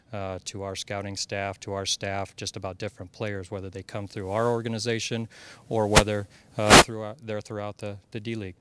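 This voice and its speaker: A man's voice: on the low side, fairly bright tone, clear, resonant tone, no room sound. A man in his thirties from Iowa, a basketball head coach answering interview questions earnestly, with many fillers and restarts, into a handheld mic at an indoor media backdrop. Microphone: handheld mic held by interviewer.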